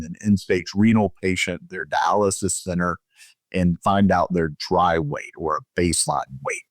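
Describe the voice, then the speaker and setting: Low-pitched man explaining medicine. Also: no echo, studio quality. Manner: explanatory, somewhat conversational. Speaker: physician, podcast guest. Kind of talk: expert explanation in an interview.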